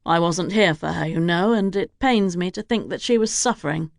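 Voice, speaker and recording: A young nobleman speaks gently, heavy with grief, his voice set a little lower, as a woman narrator does him. The sound is dry and close. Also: moderate pace, creaky, no echo. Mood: grieving. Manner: gentle, sorrowful, sighing. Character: young male lord, grieving and earnest.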